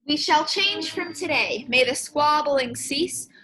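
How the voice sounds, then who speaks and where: A woman's voice: high voice, animated, slow, bright, forceful tone, exaggerated voice, rough recording. A woman voicing a silly, earnest male squirrel from a children's rhyming storybook, in exclamations. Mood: earnest, glad.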